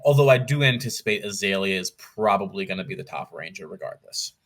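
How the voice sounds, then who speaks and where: A man with a fairly low but bright, forward voice. He chats casually as a podcast guest, weighing his points in a measured, analytical way and thinking aloud. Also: lively intonation. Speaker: podcast guest, competitive card game player. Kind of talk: casual interview chat.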